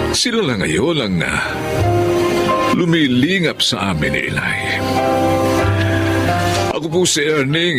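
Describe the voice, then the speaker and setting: An actor playing a kind, humble Filipino father figure in a radio drama. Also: medium pitch. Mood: reflective.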